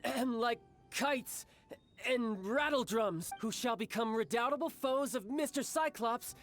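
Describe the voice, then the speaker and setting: Playful young game character, sly agent type, politely theatrical, music behind him. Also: male voice, very high-pitched, measured pace, a bit bright. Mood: grand, commanding. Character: smooth, playful young man, cunning elite agent posing as salesman. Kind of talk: video game voice acting.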